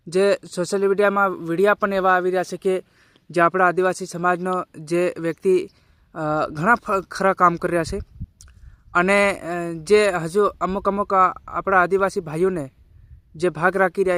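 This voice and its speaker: Male community commentator, high pitch, dry sound, colloquial and repetitive halting delivery. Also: a bit bright, dry recording. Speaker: video creator and community commentator. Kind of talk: informal social media commentary addressed to viewers.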